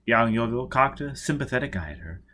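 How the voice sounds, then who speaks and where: A man's voice: medium pitch, expressive, a little fast, sharp tone. A man reading a novel aloud as scripted audiobook narration. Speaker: audiobook reader.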